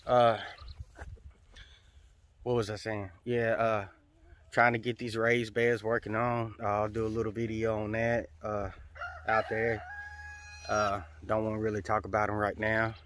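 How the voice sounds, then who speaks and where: A man's voice: lowish voice, completely flat delivery, a little slow, long pauses, fairly bright tone, a little hiss or hum. Folksy man filming himself outdoors. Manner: rambling, many fillers, folksy Southern talk. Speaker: vlogger. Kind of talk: casual outdoor vlog. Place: outdoors in a farmyard with chickens. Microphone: phone held at arm's length.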